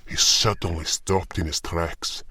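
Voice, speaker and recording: A man narrating and playing a gruff, impatient beast hunter in a fan audio drama. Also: sits low, no room sound, full character voice. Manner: slow deliberate storytelling, louder and excited in dialogue. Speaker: narrator and lead character.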